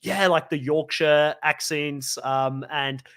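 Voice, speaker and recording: A young man from South Africa who grew up speaking Afrikaans talks on a podcast in a loose, rambling way, full of likes and ums. His voice sits a bit higher than most men's. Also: fairly even intonation, slow, a bit bright, clean tone. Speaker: podcast co-host. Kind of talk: casual podcast chat.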